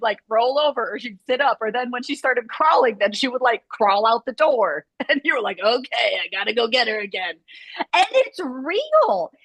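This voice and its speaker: Woman studio owner, casual rambling chat, a little high-pitched, dry close sound. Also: fairly bright tone, no echo. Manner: chatty, rambling, lots of "like" fillers. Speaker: scoliosis movement studio owner. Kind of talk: casual video chat conversation.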